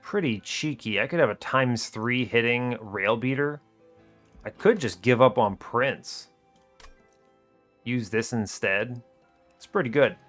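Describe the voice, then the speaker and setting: Male gaming host, mid-pitched, casual and analytical, talking through decisions with faint music underneath. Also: slow pace, pauses often, a bit bright. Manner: explains his reasoning as he plays, self-questioning. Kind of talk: casual strategy game commentary, thinking aloud. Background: music behind the voice.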